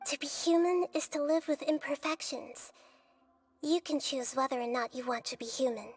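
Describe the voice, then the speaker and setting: Young wise goddess, high girlish voice, gentle, earnest, philosophical, video game drama. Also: steady tone, moderate pace, soft background music. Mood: gently firm. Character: small, wise, gentle young female deity.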